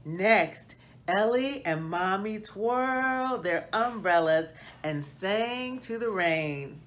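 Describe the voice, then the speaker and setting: A middle-aged woman hosting a children's read-aloud, reading storybook style with deliberate, expressive phrasing. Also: medium pitch. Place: small plain indoor room.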